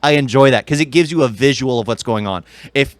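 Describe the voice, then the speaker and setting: A man with a mid-pitched voice talks casually as a podcast co-host. He gets keen on details and circles back to rephrase what he just said. The sound is dry and close. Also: fairly quick, clean tone, no echo. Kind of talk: casual movie chat.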